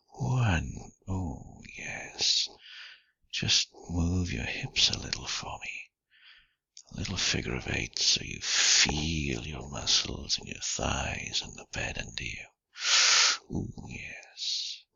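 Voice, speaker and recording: A man with a husky, gravelly voice giving intimate erotic hypnosis guidance in a slow, deliberate, breathy and often whispered delivery, recorded close and dry with dull sound. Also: very deep voice, pushed and bright, no room sound.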